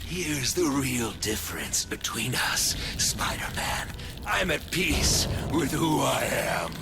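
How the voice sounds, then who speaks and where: Casting: psychotic killer villain for a superhero game, slow menacing sermons on chaos, heavy score underneath. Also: male voice, mid-range voice, big pitch swings. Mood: eerily calm menace. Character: deranged male serial killer villain, chaos-worshipping, menacing. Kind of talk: video game voice acting. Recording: loud music over the voice.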